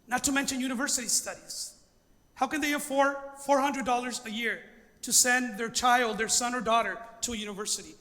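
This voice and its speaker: Guy pastor from los angeles giving an earnest talk, bright voice, long reverb like a big hall. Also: very high voice, steady tone, fairly quick. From Los Angeles, United States. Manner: earnest, emphatic, with rhetorical questions. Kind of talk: informal public presentation.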